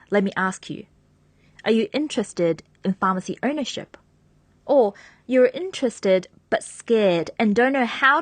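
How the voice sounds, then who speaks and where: A woman's voice: medium pitch, dry recording. A woman hosting a podcast, reading an upbeat introduction and asking her guest questions. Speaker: podcast host.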